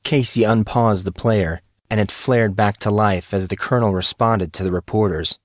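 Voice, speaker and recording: A man reading scripted narration for an audio drama in a steady storytelling voice. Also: fairly low pitch, moderate pace, dry recording, clean studio sound.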